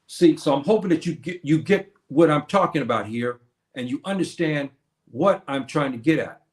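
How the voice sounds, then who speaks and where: A man's voice: fairly high pitch, expressive, normal speed, reverberant. A man hosting a stream, lecturing on history in a preachy way and posing questions that he answers himself. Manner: preachy, asks and answers his own questions. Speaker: host. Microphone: streaming setup.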